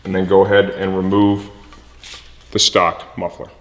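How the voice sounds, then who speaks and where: Male how-to presenter, lower register, practical instructional delivery, recorded with long room echo and background noise. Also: monotone, a little slow. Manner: instructive, checks with "okay". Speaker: motorcycle mechanic presenter.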